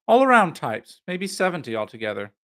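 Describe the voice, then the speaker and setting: A pompous, self-satisfied schoolmaster acted out by a male narrator in an audiobook. He blusters in clipped old-fashioned slang, pitched above the reader's usual voice and eager to impress. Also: very animated, a little fast, a bit bright. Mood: boastful.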